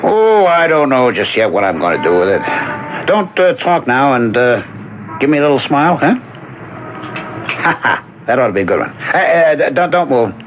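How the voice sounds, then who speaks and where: A kindly man played by an actor in an old radio drama, the sound dull and lo-fi with music behind. He talks in a gentle, hesitant, coaxing way, with hugely animated intonation. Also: medium pitch, normal speed, close to an everyday voice. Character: kindly, protective, easygoing man looking after young woman.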